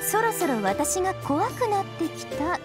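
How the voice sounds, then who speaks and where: A woman's voice: very high-pitched, big pitch swings, moderate pace, over loud music. A woman voicing a devilish young female AI in an anime game, coy and polite with a mock-sweet, sinister edge. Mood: coy, teasing. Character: teasing, devilish young female moon super AI, sweetly menacing. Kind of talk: Japanese anime game voice acting.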